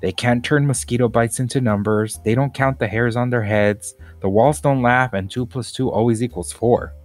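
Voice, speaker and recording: A man reading aloud, voicing a calm, mild male art teacher telling a story in a children's storybook. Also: medium pitch, normal speed, soft background music, close to an everyday voice. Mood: deadpan.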